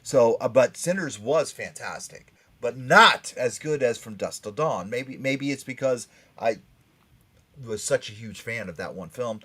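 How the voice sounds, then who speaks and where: A man's voice: mid-range voice, expressive, unhurried, sharp tone. A middle-aged man hosting a casual pop-culture radio panel, talking in a rambling, emphatic fan's way. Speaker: radio show host.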